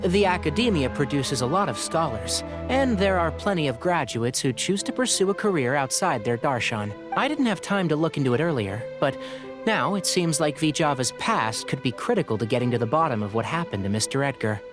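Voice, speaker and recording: A man's voice: a little high, animated, brisk, over music, close to an everyday voice. A man playing a clever, considerate young scholar in a video game, articulate and considered in his delivery. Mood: thoughtful.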